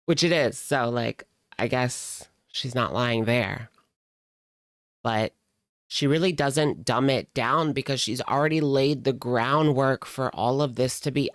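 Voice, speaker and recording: A woman streamer reacts casually with snark and plenty of slang. Her voice is clean and smooth, set in a dry, close sound, and she drags out words to make a point. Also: rumbling low, no echo. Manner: snarky, slangy, drawn-out emphasis. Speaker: reaction streamer commenting on a video.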